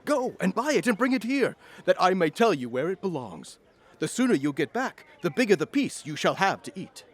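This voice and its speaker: Giddy reformed old miser, swooping voice. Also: male voice, high voice, sing-song, moderate pace. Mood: giddy delight. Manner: exclamatory, effusive, old-fashioned courtly speech. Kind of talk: audio drama acting.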